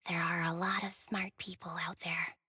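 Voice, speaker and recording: An actress playing a remorseful young woman soldier and former squad captain in a video game, speaking softly and haltingly with music behind her. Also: fairly low pitch, little pitch movement. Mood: reflective, sad. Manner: soft, measured, halting, subdued. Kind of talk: dramatic video game voice acting.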